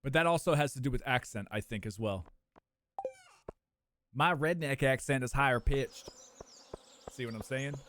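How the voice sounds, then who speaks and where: A young man, chatting casually with his live-stream viewers, animated and prone to squeaky bursts of laughter. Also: moderate pace, long pauses, slight background noise.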